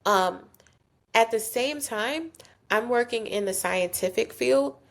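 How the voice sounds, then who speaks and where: Chatty woman with a gravelly edge. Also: fairly high pitch, slow. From South Jersey, USA. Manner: candid and chatty, acts out quoted voices. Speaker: guest, nonprofit founder. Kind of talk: casual podcast interview.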